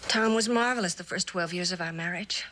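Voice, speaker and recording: An actress playing a neglected but loyal wife in a mid-century television drama, earnest and wistful. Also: female voice, on the low side, fairly quick, lightly acted. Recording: noisy old television soundtrack.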